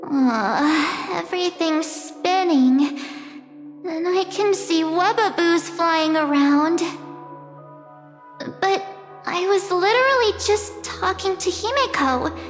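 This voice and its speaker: In a long, echoing reverb, a very high girlish voice speaks extremely slowly and haltingly. It is a bewildered, naive teenage game heroine. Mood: dazed, confused. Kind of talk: scripted game story dialogue. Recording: echoey reverb, faint music.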